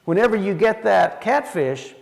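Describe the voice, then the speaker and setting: A man's voice: high-pitched, animated, moderate pace, gravelly, in a large hall. A man leading a church Bible study in a folksy way, asking the room questions as he teaches. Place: church hall.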